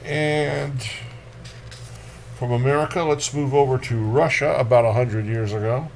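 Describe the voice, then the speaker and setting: A man hosting a poetry reading, delivering verse in a dramatic, deliberate manner with casual commentary. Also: medium pitch, steady tone, vocal fry, a little mellow, slight background noise. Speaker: poetry reader and host.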